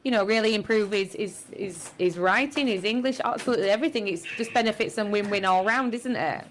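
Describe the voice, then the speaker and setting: A woman's voice: mid-range voice, brisk, a little hiss or hum. A woman radio interviewer chatting casually in an encouraging, affirming way.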